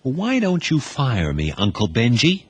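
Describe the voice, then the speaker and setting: A man voicing a brash, scheming teenage boy in an audio drama, raising his voice high and pushy. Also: wildly swooping pitch, a little slow, slightly rough, exaggerated voice. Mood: defiant.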